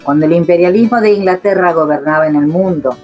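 Woman narrating slow and solemn, lowish voice. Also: darkish tone, highs cut off, faint music behind. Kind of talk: scripted narration.

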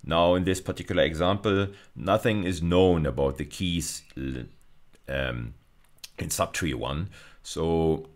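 Very deep, gravelly male lecturer. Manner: deliberate, repeats phrases for emphasis. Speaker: university lecturer. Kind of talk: explanatory lecture on slides.

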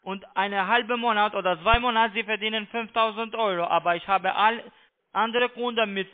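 High-voiced foreign-accented man on phone. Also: steady tone. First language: not German. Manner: pushy, repetitive, halting. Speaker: call-centre phone agent. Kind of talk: phone sales pitch in broken German. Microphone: telephone. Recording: tinny narrow phone line.

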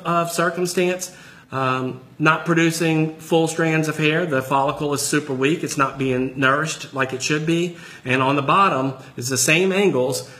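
A man's voice: mid-range voice, a bit bright. A middle-aged man, a longtime hairdresser, talks eagerly about a hair product, stumbling over fillers as he points at charts. He sounds close to a phone camera in an indoor salon space, in a plain mid-range voice. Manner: enthusiastic, salesy, with frequent ums. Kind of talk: product demonstration talk.

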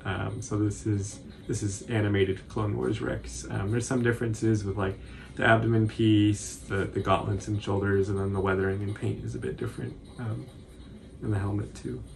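A man's voice: fairly low pitch, level tone, moderate pace, creaky. A man in his twenties with an American accent, chatting casually through a craft tutorial as host. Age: 20s. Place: small indoor room at home, on a couch.

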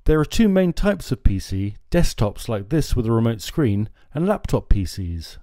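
Man with a lively, warm voice. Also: medium pitch, moderate pace, dry recording, clean studio sound. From France. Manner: steady, informative, gestures at screens. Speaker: marine electronics presenter. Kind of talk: scripted product explainer. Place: small indoor showroom. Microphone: lapel mic.

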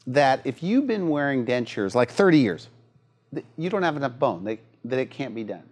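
A man's voice: medium pitch, very animated, normal speed, darkish tone. A middle-aged man hosting a TV interview and asking probing questions. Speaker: TV host. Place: TV studio.

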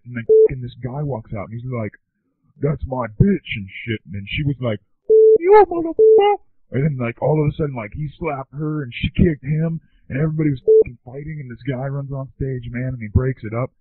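A man's voice: mid-range voice, husky, no room sound. A man telling slangy comic anecdotes like a surfer dude, recorded with thin telephone-like sound. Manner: surfer-dude drawl, constant bro and man. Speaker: comedy podcast performer. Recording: thin, tinny phone-like sound.

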